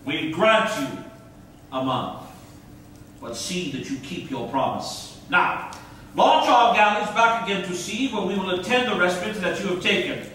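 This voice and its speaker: An actor playing a courteous but firm Turkish prince in a classical stage drama, speaking formally and with measure. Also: male voice, high voice, expressive, measured pace, sharp tone, full character voice. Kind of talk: classical verse stage reading. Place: stage of an auditorium, indoors. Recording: echoey hall, distant, some noise.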